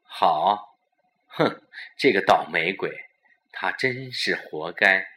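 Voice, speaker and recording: A man's voice: low-pitched, lively intonation, takes it slowly, bright, forceful tone, heavily acted. A man voicing a cold, scornful, scheming middle-aged husband in a suspense audio drama. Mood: contemptuous. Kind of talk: suspense radio drama acting.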